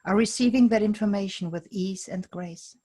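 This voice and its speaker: A woman's voice: mid-range voice, moderate pace, dark tone. A woman leading a tapping session, calling out tapping points and giving short phrases for someone to repeat. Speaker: EFT tapping practitioner.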